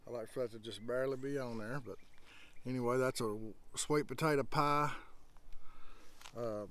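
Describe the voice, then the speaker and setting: A man's voice: mid-range voice, normal speed, a bit bright. A man, talking folksy and casual to his viewers while fishing outdoors on the water. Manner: folksy, talks straight to viewers. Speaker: fishing vlogger.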